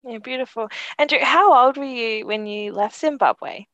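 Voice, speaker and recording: A woman's voice: fairly high pitch, normal speed, a bit bright, no room sound, highs cut off. A woman hosting a podcast, reading an introduction and then encouraging her guest with affirming remarks. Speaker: podcast host. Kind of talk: podcast interview, reads intro then chats.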